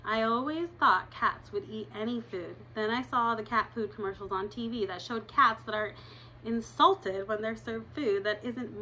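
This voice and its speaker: A woman's voice: mid-range voice, sharp tone, a little hiss or hum, poor audio. A woman in her thirties reading a chapter book aloud in her living room, breaking off for chatty asides to her listeners. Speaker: reader.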